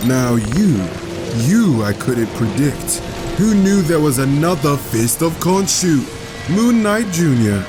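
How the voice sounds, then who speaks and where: A man's voice: fairly high pitch, very animated, slow. A man playing a gleeful, sadistic supervillain who taunts theatrically and mockingly in a comic book dub. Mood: gleeful taunting. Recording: dramatic music behind voice.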